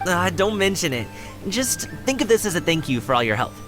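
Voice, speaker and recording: A man's voice: high voice, sing-song, a little fast. An actor voicing a cheerful, eager and generous young man with an upbeat, friendly manner. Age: young adult. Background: game music.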